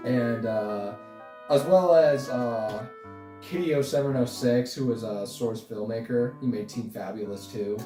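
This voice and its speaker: A young man chatting casually as an interview guest, rambling and halting as he talks. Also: medium pitch, dark tone. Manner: rambling, halting, chuckles often. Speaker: interview guest, online animation creator. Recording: roomy echo, distant mic, music behind.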